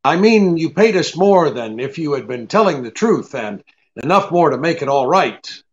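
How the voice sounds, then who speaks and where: A man plays a shrewd private eye from a vintage radio mystery. His voice sits a little high and swings widely as he delivers wry, blunt remarks with small hesitations. The audio is slightly dull and lo-fi. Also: big pitch swings, moderate pace. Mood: wry, cool. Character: shrewd, sardonic male private detective, cool and unruffled. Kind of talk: old-time radio detective drama re-creation.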